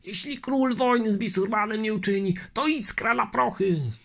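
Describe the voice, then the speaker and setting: A man's voice: sits high, moderate pace, vocal fry, a bit bright, voice pushed up. A man voicing a frightened old colonel. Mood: alarmed. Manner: anxious, pleading, crying to Christ. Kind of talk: audiobook character voice.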